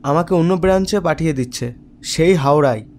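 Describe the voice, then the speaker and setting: A man's voice: a little high, fairly quick, darkish tone, a little hiss or hum, natural voice. A man playing a resigned young office worker in a cartoon, talking casually. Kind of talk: cartoon voice acting.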